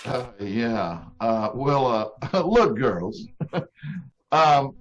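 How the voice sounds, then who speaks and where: Man acting a slow, flirty, baffled salesman. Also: mid-range voice, sing-song, lo-fi sound. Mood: incredulous, uneasy. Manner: hesitant, chuckling, throat-clearing. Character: smooth-talking flirtatious salesman, easily baffled. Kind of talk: old-time radio comedy acting.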